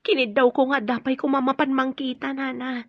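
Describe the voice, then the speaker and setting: Distressed, high-voiced young sweetheart. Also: female voice, little pitch movement, brisk, no room sound. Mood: pleading, sad. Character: distressed young woman longing for her missing sweetheart. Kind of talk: radio drama acting. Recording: dull lo-fi radio drama audio.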